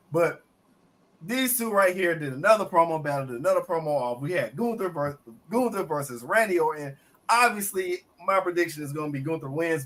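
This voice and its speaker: Raspy-ish guy, bright and a bit high, stumbles and repeats words when hyped. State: slightly raspy voice. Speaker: podcast co-host. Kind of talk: casual wrestling review chat.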